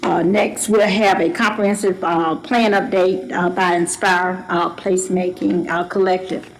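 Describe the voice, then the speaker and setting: A woman chairing a public board meeting, speaking haltingly with many fillers. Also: lowish voice, little pitch movement, a little hiss or hum, rough recording.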